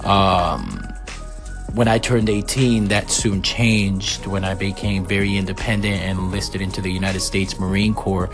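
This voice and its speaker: A man in his thirties, from Puerto Rico, hosting a solo podcast and telling his life story in an earnest, measured way with frequent "uh" and "um" fillers. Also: low-pitched, over music. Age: 30s. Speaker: podcast host.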